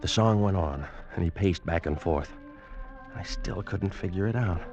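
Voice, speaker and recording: An actor playing a frightened, weakened ship's officer, grave and urgent, in an old radio suspense drama. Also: male voice, low voice, normal speed, highs cut off, over music. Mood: dread-filled. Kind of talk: 1940s radio drama acting and narration.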